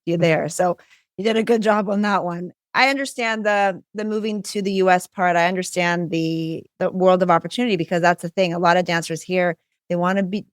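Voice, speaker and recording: A woman's voice: on the low side, dry recording, clean studio sound. A middle-aged woman, hosting a casual interview conversation. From Canada. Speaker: podcast host.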